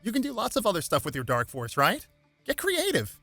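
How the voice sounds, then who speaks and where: A bold young superheroine , acted out by a man, speaks in a raised, bright voice. She is snappy, flippant and impatient, like a storybook heroine, over faint music. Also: high-pitched, huge pitch swings, fairly quick, creaky, dry recording, voice pushed up, heavily acted.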